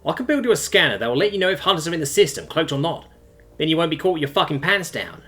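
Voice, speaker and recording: A man's voice: fairly high pitch, very animated, fast talker, a bit bright, soft background music. Man voicing a brazen, slightly mad spaceship scavenger. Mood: bargaining. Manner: level, blunt, bargaining. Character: crazy, brazen spaceship-owning man bargaining for weapons.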